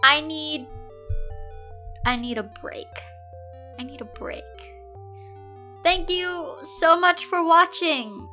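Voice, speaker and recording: Dramatic exasperated lady reading fanfic out loud, really high bright voice, bit of music behind. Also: slow, long pauses. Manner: theatrical, exasperated, expressive. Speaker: host of a comedic fan fiction reading show. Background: background music.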